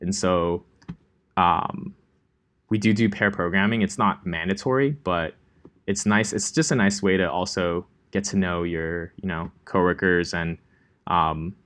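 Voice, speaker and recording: A young man from Los Angeles teaching a coding class in a relaxed, chatty way, with many ums and likes. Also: low voice, unhurried. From Los Angeles, California. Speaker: coding instructor. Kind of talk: casual classroom lecture.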